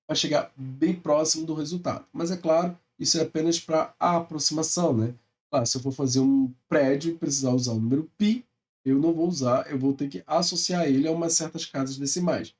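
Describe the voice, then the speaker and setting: A man's voice: on the high side, darkish tone, distant mic. A male math teacher with a Brazilian Portuguese accent, explaining step by step in a recorded lecture and often checking in with his students.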